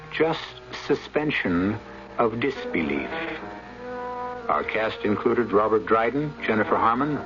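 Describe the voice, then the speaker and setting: A man with a lowish voice hosts a radio suspense drama. He narrates very slowly, with theatrical, ominous weight. Music plays behind him on a dull broadcast recording. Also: a bit bright. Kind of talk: scripted suspense-anthology narration.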